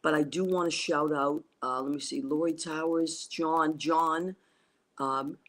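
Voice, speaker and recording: A woman's voice: low-pitched, fairly even intonation, measured pace, a bit bright. A woman whose first language is Italian, hosting a casual livestream over a video call, rambling enthusiastically with many fillers and restarts. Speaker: talk show host. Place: abroad on a trip, streaming remotely.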